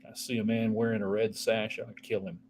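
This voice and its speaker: A man's voice: fairly low pitch, little pitch movement, brisk, a little mellow, a little hiss or hum. A man hosting a casual solo sports talk show, rambling from topic to topic and answering viewer texts. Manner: rambling, offhand, jumps between topics.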